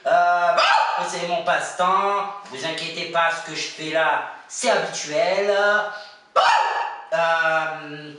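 A man's voice: on the high side, slow. A man playing a goofy, twitchy Belgian contestant obsessed with fries, with a Belgian French accent. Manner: excitable, twitchy, belts gospel off-key. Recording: echoey room from far off.

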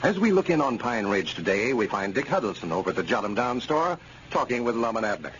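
Animated old-time male radio announcer. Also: fairly low pitch, fast talker. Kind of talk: 1930s-40s scripted radio narration. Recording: muffled, noisy old radio recording.

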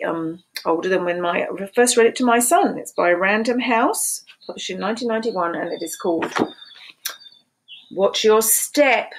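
A woman in her fifties reading picture books aloud to preschoolers in a slow, sing-song storytime voice, recorded on a webcam in a small room at home. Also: medium pitch, gravelly, slight background noise, poor audio. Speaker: children's book reader. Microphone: webcam at desk.

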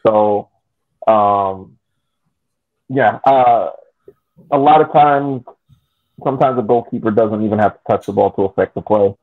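A man in a casual sports chat on a video call, speaking in a halting, deliberate way. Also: on the low side. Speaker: podcast panelist. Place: indoor room, remote video call. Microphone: video call microphone. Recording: dull lo-fi call audio.

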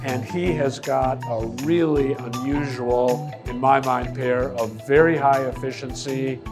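A man in his sixties presenting and interviewing in a measured, inquisitive way. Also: medium pitch, fairly even intonation, music in the background. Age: 60s. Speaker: interviewer and magazine content officer. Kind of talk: interview questions and presenting to viewers.

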